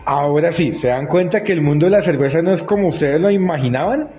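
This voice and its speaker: A man's voice: fairly high pitch, fast, darkish tone, soft background music. A man hosting an interview in an emphatic, admiring style. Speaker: interviewer, show host. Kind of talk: effusive show presentation and interviewing.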